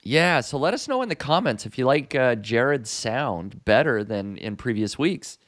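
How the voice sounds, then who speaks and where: Relaxed male podcast host. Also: mid-range voice, moderate pace. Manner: relaxed, with frequent fillers. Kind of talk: casual podcast chat. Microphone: studio boom mic.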